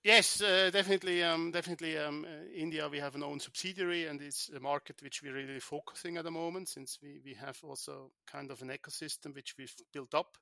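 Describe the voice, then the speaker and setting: A man from Switzerland, an insurance tech founder, answering podcast questions in a halting way with many restarts and repeated words. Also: on the high side, fairly even intonation, vocal fry, bright, forceful tone, dry recording.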